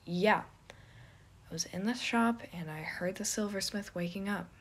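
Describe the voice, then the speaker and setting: Woman playing guarded younger man, weary, sardonic, dry delivery, slightly breathy husky voice, story dialogue. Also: moderate pace, natural voice. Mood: defensive. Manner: mumbling, dry, low-key. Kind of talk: fan fiction audio story character.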